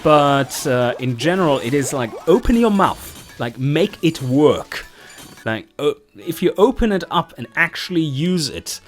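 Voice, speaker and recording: A man with a German accent answers viewer questions, projecting his voice and shaping each word with precise articulation. His intonation moves lively up and down, and soft music plays quietly underneath. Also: fairly high pitch, unhurried. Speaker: YouTuber and game developer. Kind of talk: channel Q&A, reading out viewer questions.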